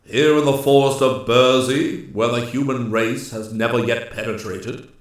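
Storybook forest king: old, stern, grave immortal with deliberate, commanding delivery, played by a male narrator. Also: mid-range voice, steady tone, very echoey, recorded from a distance, lightly acted.

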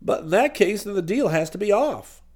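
A man's voice: high voice, animated, normal speed, a little mellow, voice pushed down. A man voicing a hearty American civic-booster businessman in an audiobook, plain-spoken, emphatic and businesslike. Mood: hearty, then troubled.

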